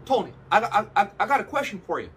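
A middle-aged man playing an eager, nervous, dim schemer in an improvised soap opera, halting and conspiratorial. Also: high voice, big pitch swings, fairly bright tone, a little hiss or hum. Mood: excitable, nervous.

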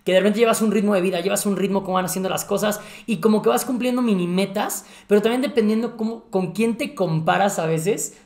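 A man of thirty with a Mexican accent, hosting a podcast and chatting casually with lively gestures and plenty of slang. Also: sits high, roomy sound. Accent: Mexican Spanish. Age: 30s. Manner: animated, gestures a lot, slangy. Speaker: podcast host. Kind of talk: casual chat. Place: living room with a fireplace.

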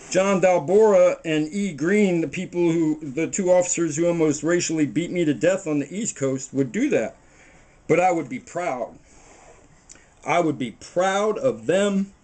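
A middle-aged man talks through a loose, preachy monologue while working dough at his kitchen table. His voice sits a bit high for a man, and it is picked up by a camera a few feet away in a small home kitchen. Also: measured pace, a little mellow. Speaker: home cooking show host. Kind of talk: rambling casual monologue while baking. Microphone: camera mic on the table a few feet away.

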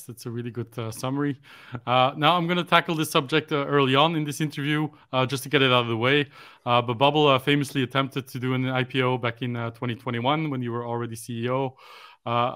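A native Dutch-speaking man in his forties hosts an interview over a headset on a video call. His voice sits at a middle pitch with a dry, close sound. He speaks steadily, opening many phrases with a soft "uh". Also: no room sound. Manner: measured, fills pauses with "uh". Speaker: podcast host, interviewer. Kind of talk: interview hosting. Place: room at home, indoors.

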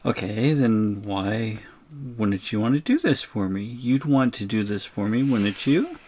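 A man's voice: on the low side, measured pace, darkish tone, a little hiss or hum, lightly acted. A man playing an exasperated, shrunken captive in an audio roleplay, firmly and incredulously reasoning with his captor. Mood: firm, coaxing. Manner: firm, incredulous, negotiating.

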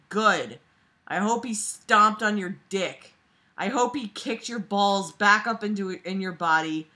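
A young woman with a bright, forceful voice at an ordinary female pitch reads aloud from a book. She mixes the reading with casual, profane commentary of her own. Her manner is sarcastic and exasperated throughout. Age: young adult. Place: small bedroom, lying on a bed. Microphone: device camera microphone at arm's length.

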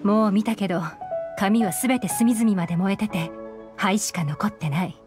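An actress voicing a cool, shrewd young woman who runs an intelligence network in a video game, composed, deliberate and knowing. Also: mid-range voice, natural voice. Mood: cool, analytical. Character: cool, shrewd female intelligence operative and boss. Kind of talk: Japanese video game voice acting. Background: background game music.

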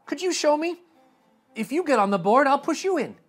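A man's voice: very high voice, expressive, fairly quick, pitched above the performer's own voice. A man voicing a clever young girl who feigns innocence in a storybook. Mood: feigned innocence.

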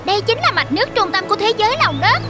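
A woman voicing an eager, sweet little girl in an animated cartoon. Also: very high-pitched, fast, music in the background. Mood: awed, grateful.

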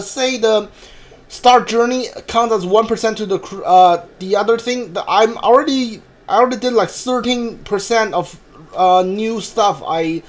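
A man with a high voice streams a video game and talks to his chat. His commentary is casual and rambling, and he often circles back over the same point with hesitant phrasing. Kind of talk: casual live gameplay commentary.

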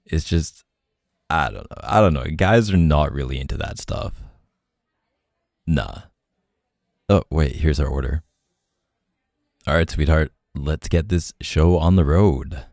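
A young man playing an easygoing, tender boyfriend in an intimate roleplay audio, speaking softly and hesitantly in casual slang. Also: rumbling low, expressive, a little slow, gravelly, pauses often, no room sound, studio quality, exaggerated voice. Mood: casual, teasing.

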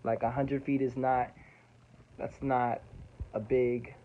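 A man's voice: mid-range voice, little pitch movement, slow pace, heavy background noise. Casual slangy young man, lo-fi phone audio. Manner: casual slangy, repeats himself. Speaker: student pilot explaining recordings. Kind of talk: casual explainer over playback.

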